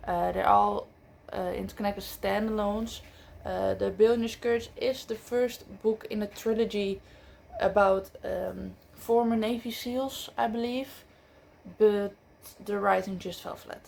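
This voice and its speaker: A woman's voice: medium pitch, steady tone, unhurried, gravelly, darkish tone, slight background noise. A woman who speaks English as a second language, reviewing books in a casual, chatty way with frequent fillers and self-corrections. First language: not English. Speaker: book vlogger. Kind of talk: casual book review chat.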